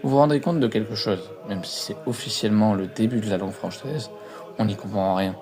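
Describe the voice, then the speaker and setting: Low-pitched Frenchman narrating history over music. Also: fairly quick. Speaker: history presenter. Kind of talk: scripted educational narration. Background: background music.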